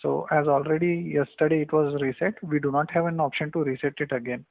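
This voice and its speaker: A man with an Indian accent working as a support agent, explaining account rules in a halting, repetitive way on a call. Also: on the high side, steady tone, no echo.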